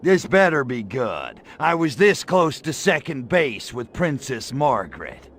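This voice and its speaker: An actor playing a brash, lecherous alien invader in a video game, with a cocky, sarcastic, slangy delivery. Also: male voice, mid-range voice, very animated, measured pace, a bit bright, slight background noise. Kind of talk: video game cutscene acting.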